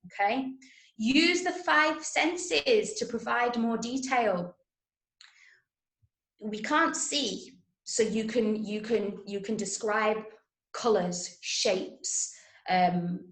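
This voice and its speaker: A woman from Manchester with an English accent, teaching a script-writing workshop and often checking that listeners follow. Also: medium pitch. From Manchester, England. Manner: explanatory, checks understanding with questions. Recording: roomy, echoing room sound.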